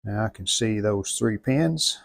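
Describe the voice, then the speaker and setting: A man's voice: on the low side, animated, unhurried, creaky, a bit bright, dry recording, studio quality. An American man narrating hands-on repair work in a folksy, plainspoken way, thinking aloud as he goes. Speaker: host of a radio restoration channel.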